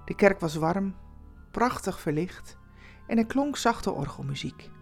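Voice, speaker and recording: A woman's voice: medium pitch, lively intonation, measured pace, vocal fry, faint music behind. A woman storyteller reading a scripted Christmas tale as dramatic narration.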